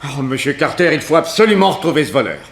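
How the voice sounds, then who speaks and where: A man's voice: fairly high pitch, big pitch swings, fast, gravelly, reverberant. An actor playing an agitated, fretful male stockbroker in a French radio drama. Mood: alarmed.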